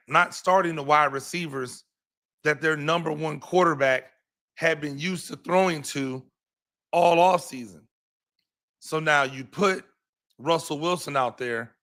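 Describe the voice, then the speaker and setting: Hoarse man with a bright, forceful voice. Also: fairly high pitch. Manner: rambling, repeats words, many ums. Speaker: podcast host and high school football coach. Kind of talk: live postgame football reaction.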